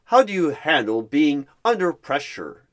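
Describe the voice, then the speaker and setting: A man's voice: a little high, very animated, unhurried, pitched above the performer's own voice. A man playing an eager, awkward recruiter in a roleplay job interview, with a stilted, theatrical manner. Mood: formal, probing. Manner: theatrical, announcer-like bursts, stilted third-person self-narration. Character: eager, awkward male recruiter narrating himself in third person.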